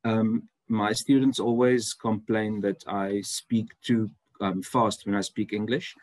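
A man's voice: on the low side, steady tone, takes it slowly, a little mellow, no echo. A man whose first language is Afrikaans, from South Africa, giving an online seminar talk as a university lecturer in a friendly, chatty way with many ums. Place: indoor room, video call.

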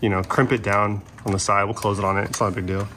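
A man's voice: lowish voice, flat delivery, quick pace, fairly bright tone. An American man hosting a casual how-to vlog with a friend, talking in slangy bro style as he works in a garage. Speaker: vlog host. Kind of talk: casual how-to chat with a friend while working. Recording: clear ambient noise behind handheld camera sound.

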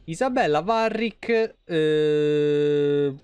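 A man's voice: on the high side, lively intonation, drawn-out words, darkish tone, smooth. A man, chatting casually and colloquially with viewers as he plays. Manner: colloquial, swearing. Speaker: game streamer. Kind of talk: casual live game commentary, chatting with viewers. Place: small room at home.

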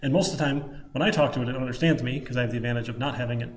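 A man's voice: mid-range voice, racing. A man with a Michigan Midwestern accent giving an informal live coding lecture, rambling casually and thinking aloud. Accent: Michigan Midwestern American. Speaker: programming lecturer. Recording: clicking keyboard, faint room noise. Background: keyboard typing.

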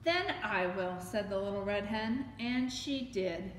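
Middle aged lady doing library story time, slow, echoey room w a bit of noise. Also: medium pitch. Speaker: librarian reading a children's story. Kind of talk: animated story time read-aloud with questions to children. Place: library room with bookshelves, indoors.

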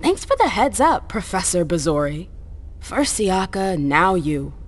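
An actress playing a defiant, sharp-tongued vampire socialite in a video game, casual and sarcastic in manner. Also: female voice, on the low side, very animated, measured pace. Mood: indignant. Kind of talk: dramatic game cutscene acting.

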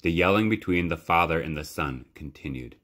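A man with a low, deep voice narrates a children's story. He reads expressively and in a measured way, leaving dramatic pauses between phrases. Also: expressive, a bit bright. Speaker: library storytime reader.

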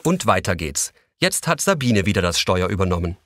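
A man's voice: sits low, very animated, fairly quick, background noise. A man narrating a children's story as a scripted audio drama narrator.

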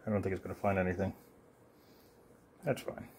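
A man with a lowish voice talks through a gadget demonstration. He is offhand and halting, thinking aloud in an unscripted, casual way while recording on a phone. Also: level tone, a little mellow, a little hiss or hum. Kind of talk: casual unscripted product demo. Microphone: phone held near the hands filming.

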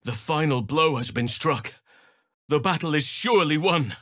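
An actor playing a gentle, solemn, protective elf druid in a fantasy video game, speaking in measured, earnest, formal phrases. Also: male voice, a little high, lively intonation, dry recording, clean studio sound. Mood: grave.

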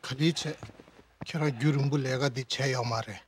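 An actor playing a brisk, helpful Tibetan village man in a video game. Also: medium pitch, steady tone, a little hiss or hum, close to an everyday voice. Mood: attentive. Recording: faint music under the dialogue.

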